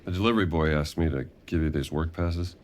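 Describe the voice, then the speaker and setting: An actor playing a gruff, dry-witted American adventurer archaeologist in a video game, with a laconic manner. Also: male voice, extremely low pitch, a little fast, darkish tone, a little hiss or hum. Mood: dry, thoughtful. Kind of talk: video game cutscene acting.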